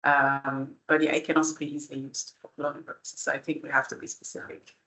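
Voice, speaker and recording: A woman's voice: low voice, little pitch movement, quick pace, a bit bright, rough recording. A woman, an international relations academic, thinking aloud in a panel discussion with many restarts. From Belgium. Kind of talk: panel discussion at a book event.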